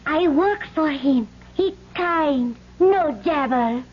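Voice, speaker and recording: A high, very slow-spoken island wife talks gently and earnestly in halting pidgin English. It is old-time radio acting on a dull vintage recording. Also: female voice, lively intonation. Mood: pleading. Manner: broken pidgin English in short halting phrases.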